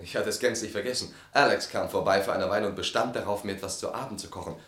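An actor in a German radio drama playing a harried, evasive husband, hesitant and flustered. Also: male voice, on the low side, fast talker, fairly bright tone, natural voice. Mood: nervous. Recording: roomy studio radio play sound.

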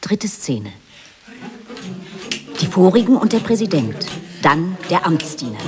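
A woman's voice: lowish voice, sing-song, takes it slowly, over a noisy crowd. A woman reading stage directions as the narrator of a radio play in a dramatic scripted style.

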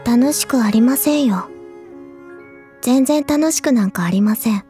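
A woman's voice: on the high side, a little slow, dark tone, faint music behind, lightly acted. A woman playing a cute younger schoolgirl, polite and playful, in an anime-like Japanese audio drama. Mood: subdued, frank. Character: cute, teasing younger schoolgirl masking her true feelings.

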